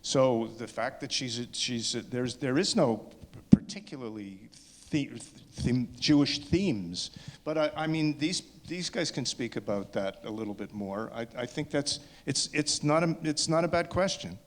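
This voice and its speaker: A man answers questions informally as a filmmaker at a screening. His voice sits at an average pitch and rings in a reverberant hall. He speaks haltingly, often circling back to rephrase. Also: animated. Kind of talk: informal audience Q&A. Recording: echoey hall, long reverb.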